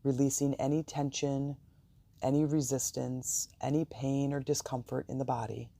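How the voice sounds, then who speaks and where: A meditation host with a soft, gravelly voice, guiding listeners calmly and soothingly with deliberate pauses. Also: medium pitch, no room sound. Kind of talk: guided meditation and affirmations.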